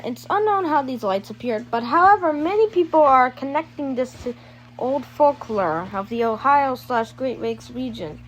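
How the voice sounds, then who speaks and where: High-pitched amateur announcer over loud noise. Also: poor audio. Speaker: narrator of a homemade emergency alert scenario. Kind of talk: amateur news and alert announcement. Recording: loud background noise, homemade recording.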